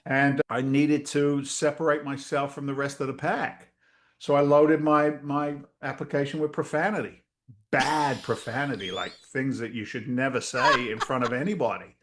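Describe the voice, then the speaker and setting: A middle-aged man, a real estate investor telling stories in a casual interview over a video call, lively and blunt, acting out voices. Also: mid-range voice, normal speed, a little hiss or hum. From Massachusetts. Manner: animated storyteller, acts out quoted voices, swears casually.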